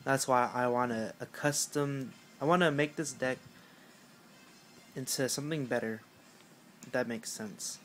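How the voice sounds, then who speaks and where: A young man with a raspy voice, chatting casually at home about a card game deck, rambling with lots of fillers and self-mocking jokes. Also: medium pitch, unhurried, pauses often, a bit bright, soft background music. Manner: rambling, filler-heavy, self-mocking with frequent clearing of the throat. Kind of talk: casual talk to camera.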